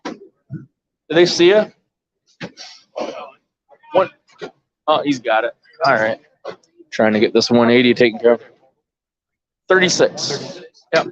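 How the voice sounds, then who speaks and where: Dull lo-fi audio, man, mid-range pitch, informal sports commentary, off-the-cuff delivery. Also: expressive, takes it slowly, long pauses, no room sound. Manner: informal, off-the-cuff, thinking aloud. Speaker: bowling tournament commentator and organizer. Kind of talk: casual live sports commentary. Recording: dull, lo-fi live stream audio.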